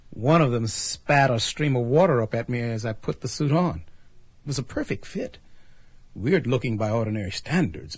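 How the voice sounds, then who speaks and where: A bewildered, excitable artist in a radio drama, snatched away by a space traveler. His voice is husky and swings widely in pitch as he puzzles over his situation. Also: no echo. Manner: flustered, incredulous. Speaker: lead actor and first-person narrator.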